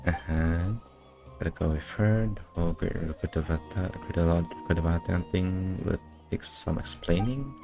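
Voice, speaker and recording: A man streaming a game with casual, low-key, muttered commentary and offhand swearing. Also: normal speed, gravelly, music in the background. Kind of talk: casual live playthrough commentary.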